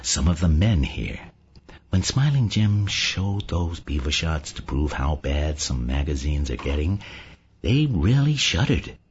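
A man's voice: a bass voice, big pitch swings, unhurried, lo-fi sound, a little hiss or hum, voice pushed down, heavily acted. A man playing a naive, prudish Southern American delegate in an audiobook, folksy and earnest. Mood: earnest realization.

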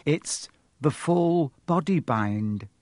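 Man voicing an anxious, high-pitched schoolgirl. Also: big pitch swings, measured pace, a little mellow, dry recording, studio quality. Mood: miserable apology. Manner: emphatic, pleading. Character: earnest, anxious, bossy young schoolgirl.

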